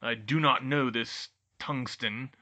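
Haughty centaur played by a male narrator: loud, forceful, stern and formal, hostile to humans, storybook menace. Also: mid-range voice, normal speed, lo-fi sound. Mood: suspicious. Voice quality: nasty, menacing tone. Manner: stern, formal, imperious speech. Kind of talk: audiobook character voice.

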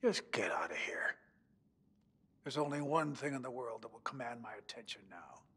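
An actor playing an embittered, commanding old man in a horror game, husky and breathy, with deliberate theatrical delivery. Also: medium pitch, very animated, a bit bright, full character voice. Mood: weary, bitter. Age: older. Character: embittered old sanatorium owner, stubborn, drinking, commanding. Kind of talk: dramatic horror game acting.

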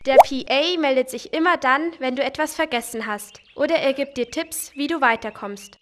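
Children's game: woman as a cheerful young girl, high and bright, eager and pleading. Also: moderate pace, slight background noise, natural voice. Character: cheerful cartoon girl Lolli, young and friendly.

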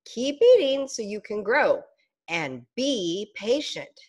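A woman doing a calm, wise grasshopper. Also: medium pitch, slow pace. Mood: encouraging. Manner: encouraging, calm.

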